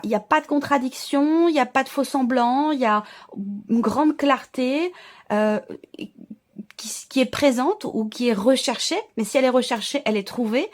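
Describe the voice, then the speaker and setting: Female tarot host, slightly high voice, informal and explanatory, feels her way through phrases with pauses and repeats. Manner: hesitant, searching phrasing with repeated words. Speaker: tarot reader and channel host. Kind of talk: informal tarot reading addressed to viewers.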